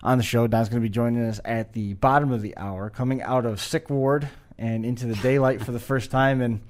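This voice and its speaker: A man's voice: mid-range voice, steady tone, a little fast, a little mellow. A man co-hosting a casual podcast, moderating the conversation in a studio. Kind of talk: casual talk show chat.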